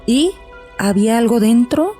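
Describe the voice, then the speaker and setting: A woman playing a composed psychiatrist in an audio horror drama, measured and probing with a patient. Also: on the high side, lively intonation, warm tone, faint music behind. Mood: probing, calm.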